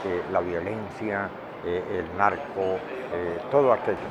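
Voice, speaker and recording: An older man with a Colombian accent answering interview questions in a deliberate, emphatic way, often repeating words for weight. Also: deep voice, fairly even intonation, music in the background. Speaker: interviewee, veteran radio director and journalist. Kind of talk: reflective interview talk. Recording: dull lo-fi, clear outdoor traffic noise.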